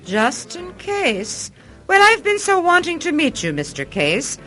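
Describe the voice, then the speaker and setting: An actress playing a grand, outgoing high-society widow in a radio drama. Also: female voice, wildly swooping pitch, unhurried, a bit bright, soft background music, full character voice. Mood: gushing. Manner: theatrical, grand.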